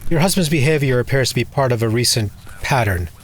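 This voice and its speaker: A man's voice: mid-range voice, animated, quick pace, a little hiss or hum, close to an everyday voice. A man playing a businesslike, reassuring lawyer in an audio drama, with a professional manner. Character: brisk, businesslike male lawyer.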